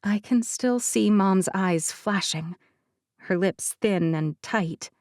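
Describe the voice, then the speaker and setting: A woman's voice: medium pitch, takes it slowly, a little mellow, no echo. Female narrator for first-person novel, scripted read, dry studio sound. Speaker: audiobook narrator.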